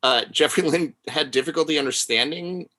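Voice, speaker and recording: A man co-hosting a podcast, chatting casually about film in an animated, readily amused way. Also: fairly high pitch, normal speed. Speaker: podcast co-host.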